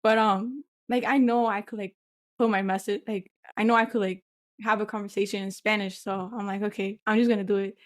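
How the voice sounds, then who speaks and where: A woman with a medium-pitched voice hosts a casual podcast conversation. She is informal and agreeable, often feeling her way through a thought in short, halting phrases. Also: little pitch movement. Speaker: podcast host. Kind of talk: casual chat.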